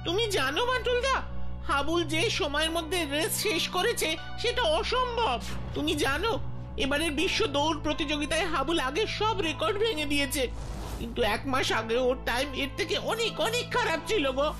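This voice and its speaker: An earnest young boy from a cartoon, voiced by a woman. The voice is very high, pleading and insistent, like an eager sidekick. Loud music plays behind it. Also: animated, a little fast. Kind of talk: cartoon voice acting.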